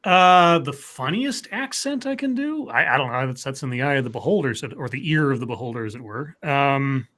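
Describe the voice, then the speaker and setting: A man's voice: medium pitch, animated, fairly quick, hardly any pauses, fairly bright tone. A man co-hosting a casual livestream chat, rambling and joking with frequent stammers. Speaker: co-host.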